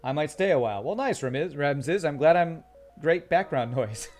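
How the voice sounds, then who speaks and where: A male streamer with a calm, soothing voice that has gone a little tired after a long session. He chats easily over the game, reading comments aloud, with faint game music playing softly behind him. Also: on the high side, normal speed. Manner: chatty, reads chat aloud, laughs often. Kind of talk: casual live-stream commentary.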